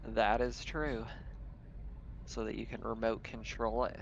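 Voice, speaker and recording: A man's voice: on the low side, level tone, measured pace. A man acting as game master of a tabletop game, narrating in a casual, chatty way. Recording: dull lo-fi audio, faint noise.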